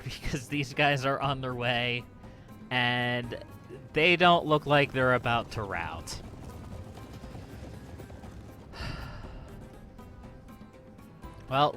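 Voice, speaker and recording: A man's voice: medium pitch, bright, forceful tone. A man giving casual let's play commentary on a video game, thinking aloud in a wry, resigned way. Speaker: gaming channel host.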